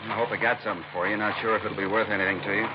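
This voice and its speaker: An actor playing a chatty, obliging American pool builder in a 1950s radio drama, with an informal, folksy manner. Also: male voice, lowish voice, flat delivery, rapid-fire, natural voice. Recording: dull old radio, loud engine noise.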